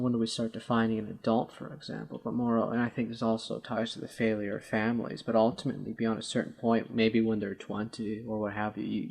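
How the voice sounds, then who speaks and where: A man with a low, gravelly voice talks through an argument as a show co-host. His delivery is husky and halting, with wordy, somewhat formal phrasing in casual debate.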